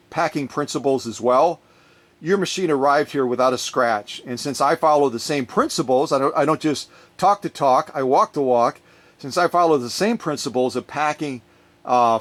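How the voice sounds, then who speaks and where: A man chatting casually in a vlog, telling a rambling story in an animated way as he gets ready to unbox a sewing machine in his workshop. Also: medium pitch. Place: workshop, recording his own video.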